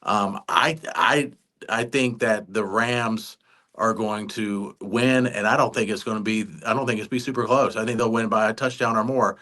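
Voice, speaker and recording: Bright-voiced male sports podcast co-host. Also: fairly low pitch, fairly even intonation, moderate pace. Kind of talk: casual sports picks chat.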